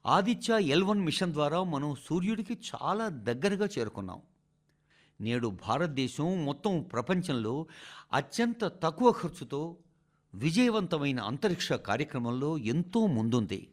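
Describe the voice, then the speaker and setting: A man reading a formal scripted speech for radio in measured, emphatic oratorical phrases. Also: fairly high pitch, very animated.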